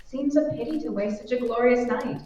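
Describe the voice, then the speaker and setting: High-voiced, echoey woman as sweet radio-play girlfriend. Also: brisk, a little mellow, natural voice. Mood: affectionate. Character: sweet, anxious girlfriend reluctant to attend a party. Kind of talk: old-time radio drama acting. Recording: muffled, very echoey, distant, faint noise.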